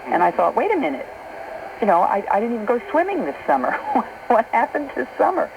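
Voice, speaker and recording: A woman's voice: on the high side, very animated, a little fast, creaky. A middle-aged American woman hosting a radio talk show, speaking in a chatty, informal, anecdotal way over a noisy shortwave recording. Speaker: radio host. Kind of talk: conversational late-night radio talk.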